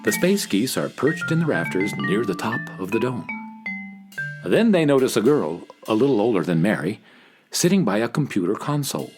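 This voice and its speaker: A man's voice: fairly low pitch, big pitch swings, moderate pace, over music. A man narrating a children's radio play as a scripted storyteller, addressing young listeners.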